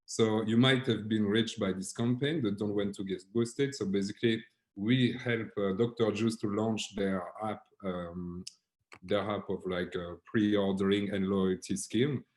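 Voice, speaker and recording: Man, French accent, low voice, relaxed workshop talk, video call mic. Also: measured pace. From Belgium. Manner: relaxed, filler-heavy, restarts phrases. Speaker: workshop presenter, creative director. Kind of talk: online workshop presentation.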